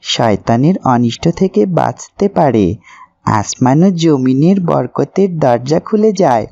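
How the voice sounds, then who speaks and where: A man's voice: medium pitch, animated, unhurried, creaky, mellow, highs cut off. A man voicing an earnest, pious young man in a moral cartoon, speaking didactically. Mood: earnest, instructive. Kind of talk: cartoon voice acting, moral preaching.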